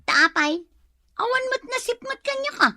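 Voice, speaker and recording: Radio drama: woman playing a fed-up wife, high, bright and tense, dry close mic. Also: animated, normal speed, no echo, heavily acted. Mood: defensive. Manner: complaining, defensive. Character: troubled wife ashamed after a fight. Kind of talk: Ilocano radio comedy drama acting.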